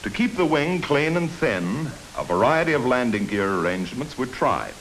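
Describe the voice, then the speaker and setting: An American man delivering scripted narration for a 1950s military training film, measured, instructive and emphatic. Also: medium pitch, big pitch swings, fairly bright tone. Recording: hissy, noisy old film soundtrack.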